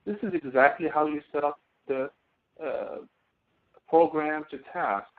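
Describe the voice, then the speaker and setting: A man teaching a live online software lesson, explaining step by step in a halting way as he demonstrates. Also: a little high. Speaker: instructor. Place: online screen-share session. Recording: thin phone-like audio.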